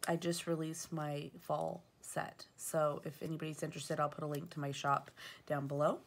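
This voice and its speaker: A woman's voice: deep voice, level tone, moderate pace, slight background noise. A woman with a sniffly voice from allergies, chatting through her planner stickers with fillers and self-corrections. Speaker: planner vlogger. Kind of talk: casual show-and-tell narration. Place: at home at a table.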